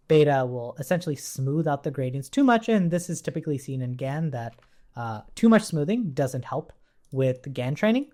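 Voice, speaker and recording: A man's voice: mid-range voice, darkish tone. A man teaching in an informal, conversational way, explaining step by step as a coding instructor and often checking in as he goes. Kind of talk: informal technical tutorial walkthrough.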